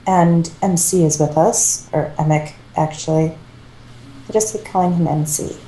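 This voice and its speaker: A woman reads a story aloud in a relaxed, mellow voice. Her delivery is casual and amateur, with small self-corrections, and the room echoes around her. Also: low-pitched, normal speed, slight background noise. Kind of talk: reading fan fiction aloud, casual.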